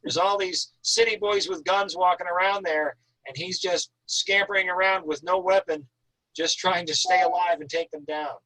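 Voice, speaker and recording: A man's voice: high voice, steady tone, moderate pace, bright, forceful tone, no echo, poor audio. A man who works as an author, chatting casually and enthusiastically about writing in an effusive, emphatic, talkative way. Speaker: author, guest at an online reading.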